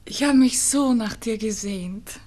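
A tender, high-voiced Viennese girl. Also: a little slow. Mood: tender, wistful. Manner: soft, earnest, tender. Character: Christine, sincere devoted young Viennese girl, wistfully in love. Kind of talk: 1960s German radio play acting. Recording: 1960s radio studio recording.

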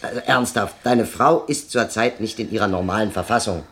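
A man's voice: fairly low pitch, brisk, fairly bright tone, a little hiss or hum. An actor playing a teasing male friend who jokes too much, in a radio crime drama. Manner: joking, then apologetic.

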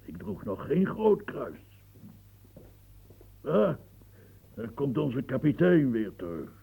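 A man's voice: mid-range voice, sing-song, slow, creaky, dark tone, husky, exaggerated voice. A man playing an aging, embittered French marshal in a radio play, stammering and repeating himself when agitated. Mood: wistful, probing.

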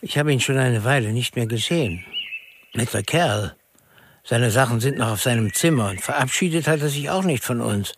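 A man's voice: medium pitch, moderate pace, slight background noise, close to an everyday voice. An actor playing a friendly, unsuspecting man of the household in a radio drama.

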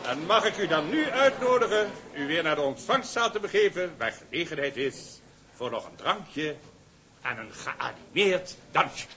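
An actor playing a pompous, jovial middle-aged consul, giving a florid ceremonial speech in a period drama. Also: male voice, fairly high pitch, animated, moderate pace, bright, forceful tone, a little hiss or hum. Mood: rousing, jubilant. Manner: bombastic, florid oratory. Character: pompous, jovial colonial consul making a grand toast.

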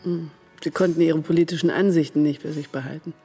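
A woman's voice: low-pitched, steady tone, fast talker, mellow, breathy edge, faint music behind. An actress playing a shrewd, worldly German woman agent in a radio crime drama, cool and matter-of-fact in manner. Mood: cool, probing.

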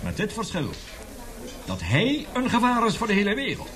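Embittered, animated professor, higher-voiced man. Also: normal speed, exaggerated voice. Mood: indignant, bitter. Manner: emphatic, indignant, lecturing, rhetorical questions. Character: embittered, passionate male professor warning of catastrophe. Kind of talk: radio drama acting.

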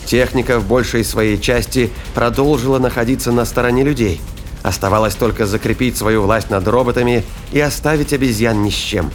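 Male sci-fi narrator over soft music. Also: medium pitch, brisk. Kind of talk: scripted dramatic narration of science fiction.

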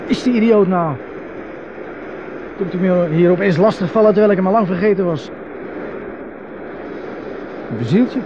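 Reclusive man, Netherlands Dutch accent, curt grumbling delivery, vintage radio drama, dull recording, clear background noise. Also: sits high, lively intonation, moderate pace, long pauses. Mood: bitter, agitated. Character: gruff, terse, reclusive man living on an island. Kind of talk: 1970s radio drama acting.

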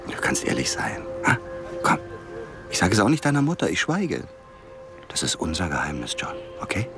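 An actor playing a protective American man who questions gently and informally in a radio drama. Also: low voice, wildly swooping pitch, moderate pace, vocal fry, over music, full character voice. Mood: teasing. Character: protective, probing American man named Tom.

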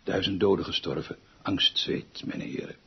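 A man's voice: sits low, steady tone, normal speed, creaky, husky, exaggerated voice. A man in a radio drama playing a pompous, obsessive music director who declaims grandly and repeats words for emphasis. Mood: wistful, brooding. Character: pompous, obsessive, theatrical male music director, haunted by lost half-sister. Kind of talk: theatrical radio drama acting. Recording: dull lo-fi radio recording with music.

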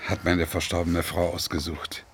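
A man's voice: low voice, monotone, normal speed, a little hiss or hum. An actor in his sixties playing a kindly, flustered widowed pensioner who gets defensive, in a naturalistic radio drama. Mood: friendly, slightly flustered. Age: 60s.

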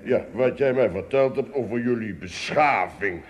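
A man playing a curious, bewildered giant king in a radio drama, scornful of mankind, halting and repeating himself. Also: medium pitch, lo-fi sound, close to an everyday voice. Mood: puzzled, curious.

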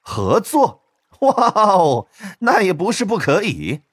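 A man voicing an arrogant foreign male fighter in an audiobook, hoarse, mocking and scornful. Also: high-pitched, wildly swooping pitch, slow pace, a bit bright, no room sound, studio quality, voice pushed up, full character voice. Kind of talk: audiobook character dialogue.

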